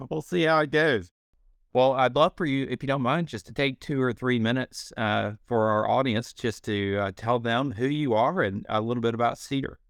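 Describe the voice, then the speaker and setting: A man's voice: medium pitch, moderate pace, vocal fry, no echo, clean studio sound. A middle-aged man hosting a podcast interview, upbeat and complimentary as he brings in his guest. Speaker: podcast host, company CEO.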